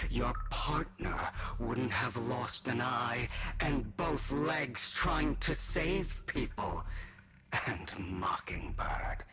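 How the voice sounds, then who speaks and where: Dark male inner self, tempting, menacing half-whisper, slow and low; game music underneath. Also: vocal fry, full character voice. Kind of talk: video game cutscene voice acting.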